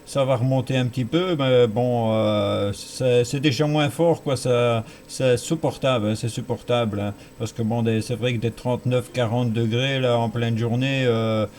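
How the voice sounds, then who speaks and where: A man's voice: medium pitch, normal speed, hardly any pauses, darkish tone. Belgian man chatting on radio, faint noise. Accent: Belgian French. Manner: repeats words and phrases for emphasis. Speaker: amateur radio operator. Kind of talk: casual two-way radio chat.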